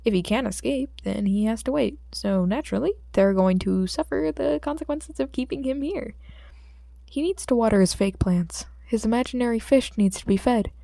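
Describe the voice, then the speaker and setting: Mellow, creaky-voiced woman narrating fiction. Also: a little high, normal speed, no echo. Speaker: audiobook narrator. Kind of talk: expressive scripted fiction narration.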